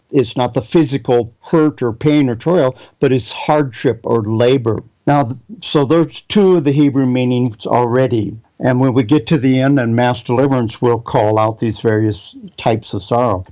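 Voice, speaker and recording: A man's voice: mid-range voice, dark tone, highs cut off. A man preaching a Bible teaching sermon, reading scripture aloud and spelling out Hebrew words.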